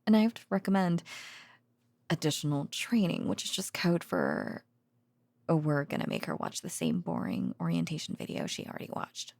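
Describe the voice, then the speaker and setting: Low, creaky-voiced tired researcher girlfriend, acted by a woman. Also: huge pitch swings, normal speed, darkish tone, dry recording, heavily acted. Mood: weary, wry complaining. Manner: hesitant, rambling, sighing, wryly sarcastic. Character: tired, teasing affectionate girlfriend, a researcher at a secret containment foundation. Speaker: voice actor. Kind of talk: intimate audio roleplay, sleepy bedtime rambling.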